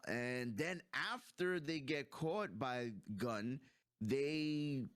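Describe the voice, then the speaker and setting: A man in his thirties gives a casual movie review in a conversational voice. He talks like a friend, with relaxed slang and open enthusiasm for what he is discussing. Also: medium pitch, drawn-out words, clear, resonant tone, no echo, clean studio sound.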